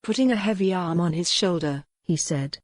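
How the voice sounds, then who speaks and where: A woman narrating a novel in a measured, even storytelling manner on a close mic. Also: on the low side, dry recording. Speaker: audiobook narrator. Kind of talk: scripted narration.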